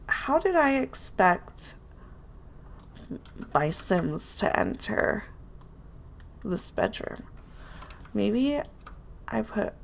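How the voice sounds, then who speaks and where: Congested, gravelly-voiced woman narrating a build. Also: medium pitch, a little hiss or hum. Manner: chatty, self-deprecating, talks to herself while building. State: congested from a cold, nasal. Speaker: Sims building video creator. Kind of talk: casual real-time build commentary.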